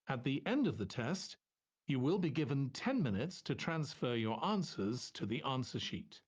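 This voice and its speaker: Man, exam narrator, husky voice, lively intonation, measured scripted delivery. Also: mid-range voice. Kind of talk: scripted test instructions, measured announcing.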